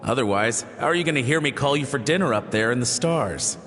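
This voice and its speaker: An actor voicing an easygoing, indulgent father in a game, relaxed and gently teasing. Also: mid-range voice, a little fast, faint music behind, close to an everyday voice. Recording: game audio with long reverb and faint noise.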